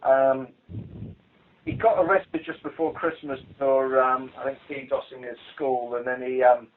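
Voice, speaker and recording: A man's voice: mid-range voice, steady tone, unhurried. British guy on a tinny phone line, informal work briefing, dry and blunt, kinda hesitant. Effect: telephone line. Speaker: police cybercrime investigator. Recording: muffled phone conference call recording.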